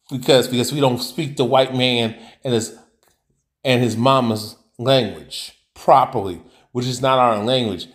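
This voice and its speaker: A man hosting a live reaction stream, ranting bluntly over a clip he is playing, often repeating and restarting his words. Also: medium pitch.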